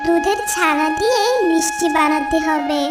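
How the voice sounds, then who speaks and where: A woman playing a clever little cartoon bird with a piercing, very high childlike voice that lilts in a sing-song way as she coaxes and bosses. The voice sits far from the mic in an echoey room, with cheerful music playing clearly underneath. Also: normal speed, lightly acted. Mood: bossy. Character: clever little female bird, sweet and cunning. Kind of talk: cartoon voice acting.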